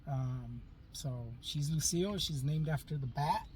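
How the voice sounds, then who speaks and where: A man's voice: a little high, unhurried, darkish tone. A man co-hosting a casual podcast, rambling and repeating himself, with corny dad jokes, sitting outdoors in an open-top Jeep. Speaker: podcast co-host. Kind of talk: casual chat. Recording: faint noise, distant from mic.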